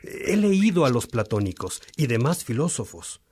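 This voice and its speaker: A man's voice: medium pitch, big pitch swings, normal speed, darkish tone, slight background noise, close to an everyday voice. A man acting a devout, earnest churchman in a religious radio drama, speaking with solemn, reflective, formal phrasing. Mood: troubled, pleading.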